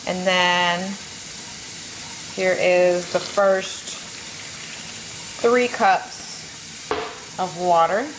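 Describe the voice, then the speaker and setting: A woman's voice: medium pitch, fairly bright tone, a little hiss or hum. Chatty woman filming in her kitchen. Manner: chatty, addresses viewers directly. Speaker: fitness vlogger. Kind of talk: casual cooking vlog chat. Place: home kitchen.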